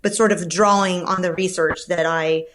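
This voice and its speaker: A woman from Romania, a novelist guest answering podcast interview questions in a thoughtful, measured way with frequent fillers and restarts. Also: on the low side, fairly even intonation, fairly bright tone, a little hiss or hum.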